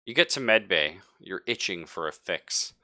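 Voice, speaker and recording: Low, bright-voiced man narrating a game. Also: expressive, normal speed. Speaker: game master. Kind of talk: narrating a live tabletop roleplaying game.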